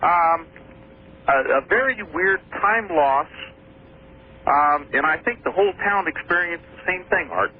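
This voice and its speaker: A man's voice: on the high side, a little slow. Hesitant male caller on a tinny phone. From Washington State. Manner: hesitant, repeating words. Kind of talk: radio call-in story. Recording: tinny phone line with faint noise.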